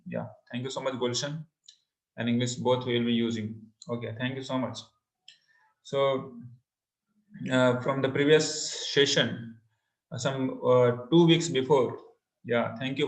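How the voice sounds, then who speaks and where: A man with an Indian accent and Hindi as his mother tongue presents an interactive webinar, encouraging listeners and repeating phrases. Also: mid-range voice. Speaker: online course instructor. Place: indoor room, online video call. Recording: echoey room on online call.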